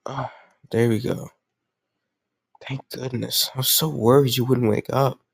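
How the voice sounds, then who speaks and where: A man's voice: medium pitch, level tone, measured pace, gravelly, slightly rough, no echo. A man playing a lovesick, possessive yet awkwardly doting kidnapper in an intimate roleplay audio drama, talking straight to the listener with rambling reassurance. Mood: concerned, doting.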